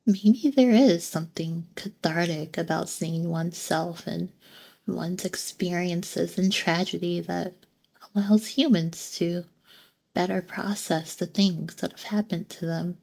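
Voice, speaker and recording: Woman voicing a slow, soft-spoken, gentle android girl. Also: on the low side, steady tone, vocal fry, slightly rough, heavily acted. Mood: pensive. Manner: soft-spoken, formal wording, hesitant pauses. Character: gentle, curious female android sheep, earnest and bookish. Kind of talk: ASMR roleplay, scripted monologue.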